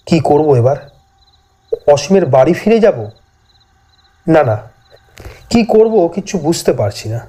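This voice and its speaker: A man's voice: sits high, warm tone. A man telling a scripted first-person horror story as a dramatic narrator.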